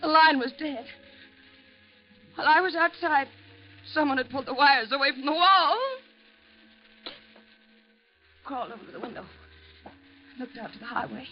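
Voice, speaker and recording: An American actress playing a terrified, haunted young woman in a 1950s radio drama, halting and stammering breathlessly. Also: high voice, natural voice. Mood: hushed dread. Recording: muffled, noisy vintage radio recording.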